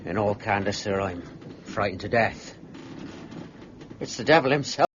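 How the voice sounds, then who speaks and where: An actor playing a sober, uneasy ship's first mate, speaking formally and gravely in a period drama. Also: male voice, on the low side, expressive, a little slow, a bit bright. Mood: uneasy, deferential. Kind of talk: period radio drama, log narration and dialogue. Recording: dull recording with faint noise.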